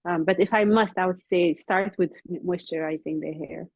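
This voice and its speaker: A woman from Ethiopia, a company founder, explaining hair care in an earnest way, often pausing with ums, over a video call. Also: fairly low pitch, steady tone, a little mellow, dry recording. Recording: dull, slightly lo-fi call audio.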